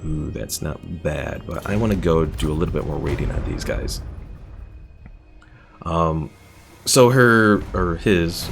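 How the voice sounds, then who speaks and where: A man's voice: sits low, creaky, slight background noise. A man streaming a game with casual live commentary, thinking aloud as he plays. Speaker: gaming streamer.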